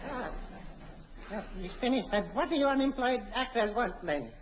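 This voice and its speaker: Cheeky, brassy dame for vintage radio comedy, played by an woman, high-pitched, muffled recording. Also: animated, normal speed, close to an everyday voice. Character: cheeky, brassy lady under police questioning. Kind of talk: old radio comedy acting. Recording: muffled old radio recording, audience noise.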